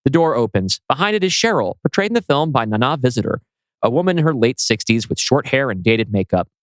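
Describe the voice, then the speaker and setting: Man, screenplay narrator, expressive intonation, scripted delivery, dry recording. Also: mid-range voice, brisk, no room sound, low-quality recording. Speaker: writer and narrator.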